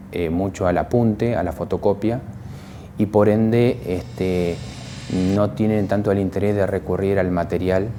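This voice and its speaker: Low, bright-voiced Argentine man explaining. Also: slight background noise. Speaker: library staff interviewee. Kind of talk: explanatory interview talk.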